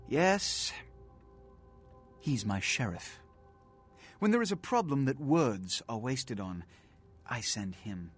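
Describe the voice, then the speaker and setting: An actor playing a haughty, aristocratic vampire prince two centuries old in a video game, with a pompous, eloquent, clipped delivery. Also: male voice, mid-range voice, very animated, slow pace, pauses often, husky, soft background music, full character voice. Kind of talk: video game character dialogue.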